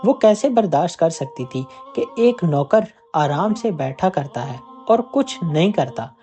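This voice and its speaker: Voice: medium pitch, expressive, a little slow, warm tone, faint music behind. A narrator reading a scripted moral tale as a storyteller.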